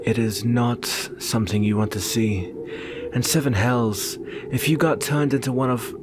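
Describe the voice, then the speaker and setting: A man playing a brooding, protective young northern warrior and devoted lover in an earnest, solemn ASMR roleplay with measured pauses. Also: medium pitch, fairly even intonation, lightly acted. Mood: grim, warning. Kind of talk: ASMR roleplay, intimate slow dramatic monologue. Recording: very noisy ambient background.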